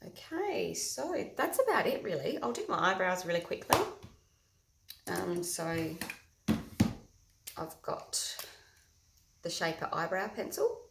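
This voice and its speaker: A woman with a loud, husky voice, chatting through a casual makeup tutorial early in the morning before her coffee. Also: on the low side, lively intonation, takes it slowly, pauses often, heavy background noise. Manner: loud, chatty, talks through each step. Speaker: makeup vlogger. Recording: echoey room.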